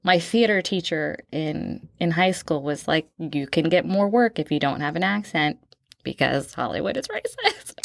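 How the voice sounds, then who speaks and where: A woman from Miami who co-hosts a podcast and reacts with lively, expressive comments and laughs. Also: on the low side, measured pace, vocal fry, dry recording, studio quality. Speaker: podcast co-host. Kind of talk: casual podcast chat.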